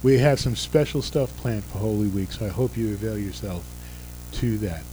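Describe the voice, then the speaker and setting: An older male pastor whose voice is fading after a busy week. His tone is mellow and dark, and he jokes with the congregation between announcements. Soft music plays faintly behind him in the sanctuary. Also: lowish voice, normal speed, poor audio. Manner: folksy, joking asides. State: losing his voice. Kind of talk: church service announcements and prayer. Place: church sanctuary.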